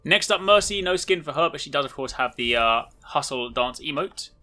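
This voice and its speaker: Male gaming video host, very bright forceful tone, casual commentary. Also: a little high, animated, normal speed. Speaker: video host showcasing game cosmetics.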